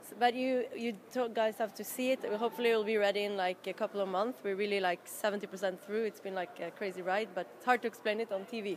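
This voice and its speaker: A woman's voice: mid-range voice, steady tone, fast talker, hardly any pauses. A woman from Norway, a band member, chatting loosely in an interview and laughing often. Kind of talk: casual interview chat. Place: busy festival venue. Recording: background noise.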